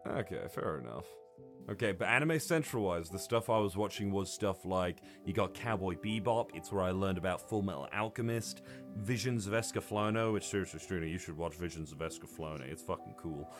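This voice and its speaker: A man with a slightly low voice talks informally on a podcast, an enthusiastic fan who swears easily. Faint music runs behind him. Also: brisk. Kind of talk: casual chat, fan discussion.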